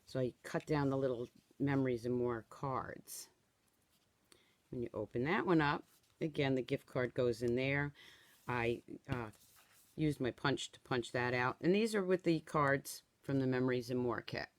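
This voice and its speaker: Chatty woman in her sixties, slightly hoarse. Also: extremely low pitch, measured pace, dry recording. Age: 60s. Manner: chatty, tags instructions with "okay?". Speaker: stamping craft demonstrator. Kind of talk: live-streamed craft tutorial.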